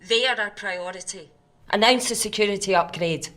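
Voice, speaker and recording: An actress playing a cold, commanding corporate boss, speaking curtly and with authority in a dramatic style. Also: female voice, medium pitch, moderate pace, a bit bright, close to an everyday voice. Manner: authoritative, curt. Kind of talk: naturalistic dramatic screen acting.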